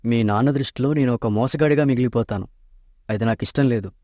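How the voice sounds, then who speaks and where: A man's voice: medium pitch, fairly quick, dark tone, no echo, clean studio sound, natural voice. An actor playing an honest, poor young man in love in a storybook tale, earnest and troubled.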